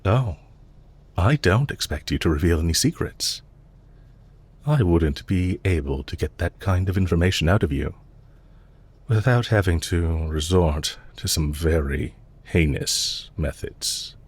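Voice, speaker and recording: A man playing a calm, courteous villain leader in an audio roleplay, measured, formal and politely condescending, with quiet menace and amusement. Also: deep voice, husky. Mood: calm, quietly menacing. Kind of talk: audio roleplay, intimate scripted acting addressed to listener.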